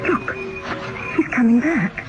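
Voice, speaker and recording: A kind, sympathetic woman in a radio play, gentle and pleading. The old recording is dull, with clear music behind. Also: fairly high pitch, animated, slow pace. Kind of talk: radio drama acting.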